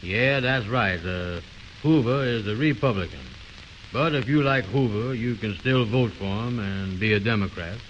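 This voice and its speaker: A man's voice: lowish voice, moderate pace, fairly bright tone, muffled recording, a little hiss or hum, natural voice. A man playing a blustering, muddle-headed know-it-all in a 1920s radio comedy, with pompous, folksy, ungrammatical talk on an old recording. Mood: mulling.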